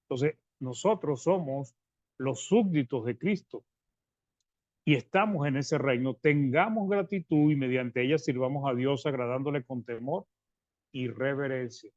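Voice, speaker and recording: A man preaching a Bible-study sermon, reading verses and explaining them, calling his listeners brothers, with halting restarts and phrase ends that fade quietly. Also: mid-range voice, no echo. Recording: dry, slightly dull sound.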